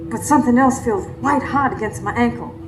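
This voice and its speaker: Actress as sassy high-voiced defiant wife, radio horror. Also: female voice, brisk, music in the background, close to an everyday voice, low-quality recording. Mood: tense. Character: feisty, jealous, fearless Southern wife fighting a witch. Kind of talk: live radio drama acting and first-person narration.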